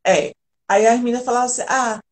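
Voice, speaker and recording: A woman's voice: a little high, fairly even intonation, fast talker. A woman from Argentina, an integrative therapist, telling her personal story earnestly and candidly as a guest on a live video call.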